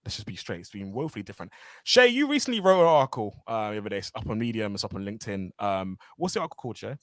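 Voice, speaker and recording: A man hosts a podcast in a loose conversational way, thinking out loud and circling back on his words. His voice swings up and down a lot and moves quickly. The recording is dry and close. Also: on the low side, dry recording. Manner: animated, repeats himself, thinks aloud. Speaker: podcast host. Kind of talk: casual conversational interview.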